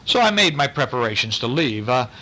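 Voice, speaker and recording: A man's voice: mid-range voice, normal speed, vocal fry, low-quality recording. A man reading a bedtime story aloud expressively, stopping to chat with and question a young listener. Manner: animated questioning, explains words to listener.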